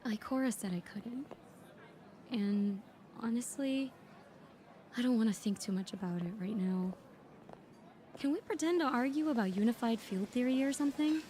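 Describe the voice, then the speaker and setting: A woman's voice: a little high, moderate pace, long pauses, warm tone, slight background noise, natural voice. A woman acting a weary, bookish, dryly sardonic soldier-scholar in a sci-fi audio drama, with natural, hesitant conversational delivery. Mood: drained.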